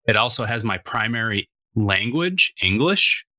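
Man from Minnesota, panel guest, low bright voice, reflective. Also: big pitch swings, measured pace, no room sound. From Minnesota, USA. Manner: reflective, thoughtful, some self-restarts. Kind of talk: conversational panel talk.

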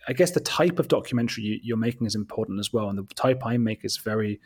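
A man with a husky voice, a guest telling casual, rambling anecdotes in a conversational, informal way, often interrupting himself. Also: fairly low pitch, animated, quick pace. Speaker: interview guest, documentary maker.

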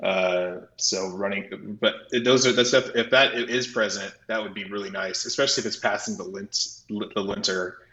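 A man talking casually as a technical podcast guest, halting and often correcting himself as he explains. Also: fairly even intonation, bright, forceful tone. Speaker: podcast guest, software developer. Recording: roomy, slightly dull low-fidelity audio.

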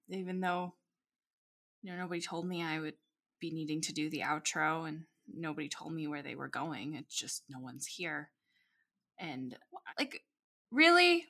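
A woman's voice: mid-range voice, pushed and bright. A woman playing an awkward, put-upon young woman giving a casual in-character podcast outro, hesitant and aggrieved. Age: young adult. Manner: hesitant, aggrieved, rambling, sighing.

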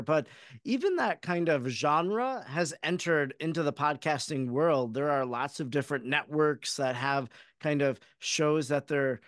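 Clear-voiced American man hosting an interview. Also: fairly high pitch, no room sound, studio quality. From Wisconsin, United States. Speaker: podcast host, interviewer. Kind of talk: conversational interview.

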